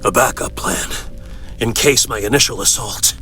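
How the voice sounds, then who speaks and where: A man playing a stern, determined masked vigilante detective in a comic dub, speaking in a grim, measured, pointed way. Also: mid-range voice, pushed and bright, close to an everyday voice. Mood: matter-of-fact, tense. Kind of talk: dramatic comic dub acting. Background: music.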